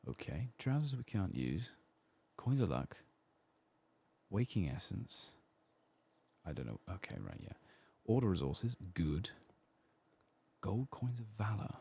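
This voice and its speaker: A man giving soft-spoken ASMR commentary as he plays a game, quiet and relaxed, murmuring to himself. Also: on the low side, takes it slowly, vocal fry, pauses often, husky. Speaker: gaming ASMR host.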